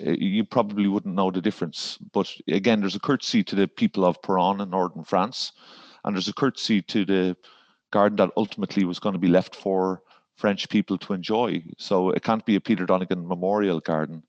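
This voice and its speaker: A man's voice: sits low, fairly even intonation, fairly quick, darkish tone, dry recording, muffled recording. An Irish man, a garden designer, talking in a podcast interview and rambling with fillers and restarts. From Ireland.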